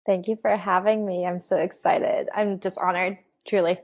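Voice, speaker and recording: A woman's voice: fairly low pitch, little pitch movement, normal speed, darkish tone. A woman in her twenties, raised in the United States, chatting as an interview guest in a bubbly way with frequent laughs and fillers. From United States (Oregon). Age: 20s. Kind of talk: casual interview chat.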